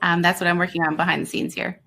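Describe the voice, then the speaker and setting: A woman's voice: lowish voice, flat delivery, quick pace, vocal fry, sharp tone. Friendly woman moderating a video panel. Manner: friendly, organizing, chatty. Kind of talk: hosting a video-call panel.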